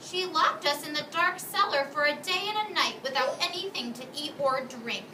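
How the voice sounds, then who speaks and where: A woman's voice: high-pitched, normal speed, voice pushed up, lightly acted. A woman voicing a small, anxious, inquisitive orphan girl from a storybook, emphatic and questioning. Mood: dread. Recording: echoey room, distant mic, noisy background.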